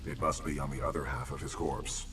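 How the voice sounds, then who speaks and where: Very deep, formal combat robot. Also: male voice, fairly quick. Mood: formal, calm. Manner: formal, precise, deadpan machine-like delivery. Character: loyal, formal giant male combat robot, unflappably literal. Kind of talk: video game voice acting. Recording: distant game audio under loud music.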